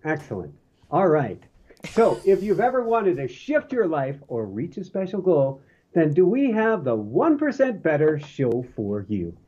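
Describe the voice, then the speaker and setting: A man hosts a casual podcast with a hoarse, smoke-roughened voice. He rambles, restarting sentences and filling gaps with ums. His tone sits mellow and fairly high, and the recording sounds dull and lo-fi. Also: wildly swooping pitch, a little slow. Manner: rambling, with many fillers and restarts. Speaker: host.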